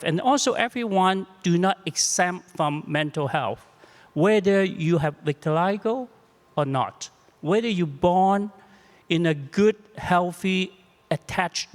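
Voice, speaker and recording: A man in his sixties with a Chinese accent, a clinician on a panel, speaking in a halting, humble and reflective way, often repeating words. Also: fairly high pitch, long reverb tail. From China. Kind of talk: panel discussion.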